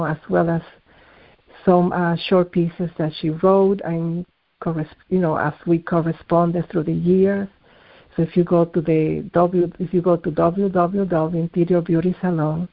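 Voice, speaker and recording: A man from the Dominican Republic, an artist being interviewed, speaking in a measured, searching way and often restarting his words. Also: high-pitched. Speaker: artist guest. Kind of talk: reflective interview talk. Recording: tinny phone-line audio.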